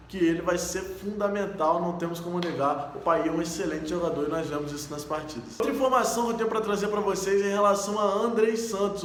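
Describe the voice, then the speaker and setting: A man's voice: high-pitched, fairly bright tone. Man, Brazilian accent, fan channel host, eager casual news rundown, faint hum behind. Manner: eager fan, talks of the club as "our". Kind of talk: casual sports news roundup. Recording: roomy echo.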